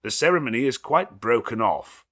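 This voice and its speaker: A man voicing a resolute, formal gentleman in an audiobook, speaking distinctly, calmly and steadily as an accuser. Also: medium pitch, voice pushed up. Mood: firm, calm. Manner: distinct, calm, steady, deliberate. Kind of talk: audiobook character acting.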